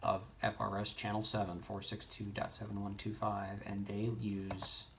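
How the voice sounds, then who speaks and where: A man giving an unscripted how-to tutorial in a halting way, thinking aloud as he explains each step. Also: lowish voice, monotone, creaky. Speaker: instructor in a radio how-to tutorial. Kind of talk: unscripted screen-demo tutorial. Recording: noisy, keyboard and mouse clicks. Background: noise, typing and clicking.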